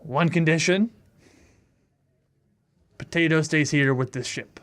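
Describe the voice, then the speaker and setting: A man's voice: on the high side, takes it slowly, a bit bright. A man chatting casually as a player in a tabletop role-playing game.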